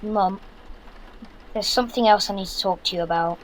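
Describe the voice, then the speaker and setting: An earnest young British son in a zombie audio drama , acted by a young man. He is practical and bossy, instructing in a light, high voice. There is faint background noise. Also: moderate pace, lightly acted. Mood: grave, hesitant. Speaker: actor.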